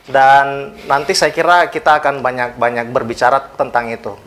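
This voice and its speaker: A man's voice: medium pitch, level tone, normal speed, fairly bright tone. A man from South Sulawesi, a guest on an interview show, speaking politely and measuredly with frequent hesitant fillers. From South Sulawesi, Indonesia. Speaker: guest, youth organisation official. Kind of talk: interview talk.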